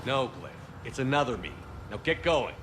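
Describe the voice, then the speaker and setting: A man's voice: medium pitch, very animated, moderate pace, in a large hall, background noise, lightly acted. An actor playing a terse, authoritative male squad leader in a video game. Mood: dry, reluctant. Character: gruff, commanding male soldier squad leader. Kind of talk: video game cutscene acting.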